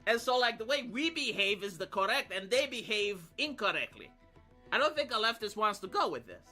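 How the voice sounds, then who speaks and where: A man's voice: high voice, very animated, fairly quick, sharp tone. A man giving casual, off-the-cuff reaction commentary as a streamer, argumentative and sardonic, often throwing out rhetorical questions. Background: music behind the voice.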